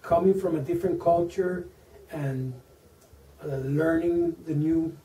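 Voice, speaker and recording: A man in his forties from Venezuela with a Venezuelan Spanish accent, a native Spanish speaker, answering interview questions slowly, with many fillers and repeated words. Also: on the high side, mellow. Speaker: interview guest, engineer. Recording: echoey room, mic at a distance.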